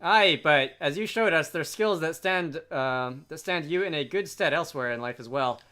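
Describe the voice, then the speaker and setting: A man voicing a plucky young sailor from a game in a hearty, animated way. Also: fairly high pitch, normal speed. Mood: matter-of-fact. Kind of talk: reading game dialogue aloud. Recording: game music behind.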